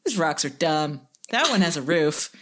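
A woman's voice: sits low, big pitch swings, normal speed, fairly bright tone. A woman hosting a casual podcast chat with a playful, jokey manner.

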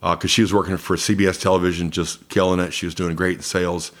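A man's voice: sits low, level tone, quick pace. A man in his fifties from Georgia with an American accent, chatting casually as an interview guest who announces sports. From Columbus, Georgia, United States. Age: 50s.

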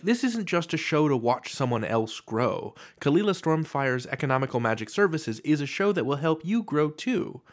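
Man, podcast host, scripted intro, earnest delivery, dramatic emphasis, very varied melody. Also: mid-range voice.

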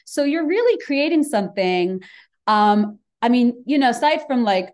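A woman's voice: a little high, animated, moderate pace, a little mellow. A woman hosting a casual podcast interview, rambling enthusiastically and asking questions. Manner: rambling, enthusiastic, full of fillers. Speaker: podcast host.

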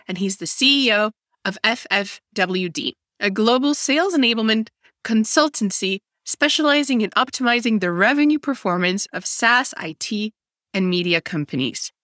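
Bright-voiced female podcast host. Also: fairly high pitch, animated, normal speed, no room sound, studio quality. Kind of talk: scripted intro and interview questions.

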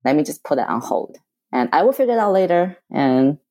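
A woman whose first language is Chinese, speaking earnestly and haltingly in a reflective conversation, often restarting her sentences. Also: low-pitched, very animated, dark tone. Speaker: coaching client.

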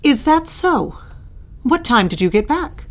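A woman's voice: high-pitched, very animated, measured pace, full character voice. An actress playing a proud, critical middle-aged mother in a period audio drama, scolding and prying with indignation. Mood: suspicious, indignant.

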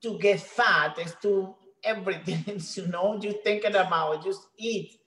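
Venezuelan woman, halting English, echoey room. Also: medium pitch, a bit bright. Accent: Venezuelan Spanish. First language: Spanish. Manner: halting non-native English with many restarts. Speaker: gym trainer, guest. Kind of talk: casual interview.

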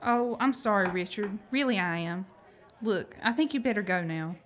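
A woman's voice: mid-range voice, expressive, normal speed, close to an everyday voice. A woman acting a plucky, frank young woman in an amateur radio play. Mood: earnest, sympathetic. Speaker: student actor.

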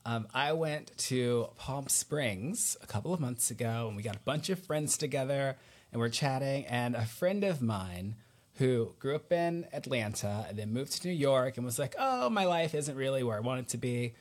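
A man's voice: mid-range voice, lively intonation, normal speed. A man hosting a podcast in a casual, chatty style, informal and effusive, often repeating words for emphasis. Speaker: podcast host. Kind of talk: casual chat, personal anecdote.

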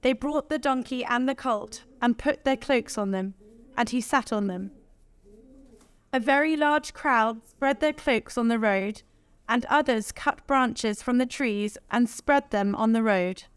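Female scripture reader, slightly high pitch, formal church-reading delivery, very little room sound. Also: measured pace, smooth, no room sound.

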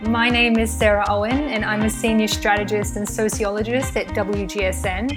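A woman from New Zealand, a podcast guest who is a strategist and sociologist, speaking in a measured way with a lot of "kind of" hedging. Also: medium pitch, little pitch movement, music in the background. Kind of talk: podcast panel discussion.

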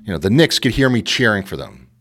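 A low-voiced man tells a story in a casual chat. He is animated and keeps dropping "you know" as he goes, and he acts out the voices of the people in his story. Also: fast talker, faint music behind. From Queens, New York. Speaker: guest.

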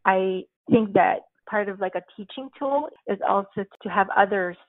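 A woman's voice: medium pitch, normal speed, dry recording. A woman, a guest, talking thoughtfully with many fillers, in a casual interview. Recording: tinny phone line, dry.

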